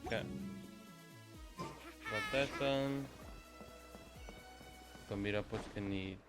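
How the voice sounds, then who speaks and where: A man's voice: lowish voice, slow pace. A man streaming a video game, giving offhand, muttered reactions in casual commentary. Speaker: gaming streamer. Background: game music.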